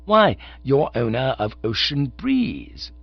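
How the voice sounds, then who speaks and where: A man voicing a cheerful young upper-class gentleman who chatters in breezy, chirpy slang. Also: mid-range voice, huge pitch swings, slow pace, creaky, dry recording, pitched above the performer's own voice, full character voice. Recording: dull lo-fi dry recording.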